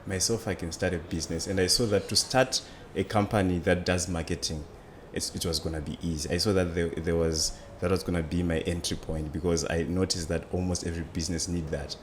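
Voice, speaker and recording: A man's voice: deep voice, steady tone, a little fast, hardly any pauses, slight background noise. A man in his twenties with a Zimbabwean accent, telling his story conversationally as an interview guest. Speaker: interview guest, student entrepreneur.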